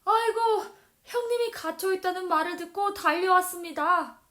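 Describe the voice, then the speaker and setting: A woman voicing a kind, concerned man in a children's storybook, speaking loudly and urgently. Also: extremely high pitch, moderate pace, voice pushed up. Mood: worried. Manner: loud, urgent, exclamatory. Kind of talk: children's storybook character acting.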